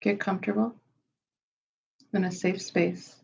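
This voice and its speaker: A woman leading a guided meditation in a slow, soothing style, with measured, unhurried phrases and pauses. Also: mid-range voice, flat delivery, vocal fry, darkish tone, slightly rough.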